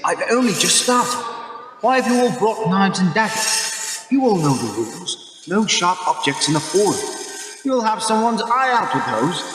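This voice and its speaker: A man's voice: high-pitched, very animated, moderate pace, fairly bright tone, a little hiss or hum. A man playing a pompous Roman ruler addressing the Senate in an amateur radio play, speaking in an oratorical, lordly way. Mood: exasperated. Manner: oratorical, lordly, mildly exasperated. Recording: echoey hall with long reverb.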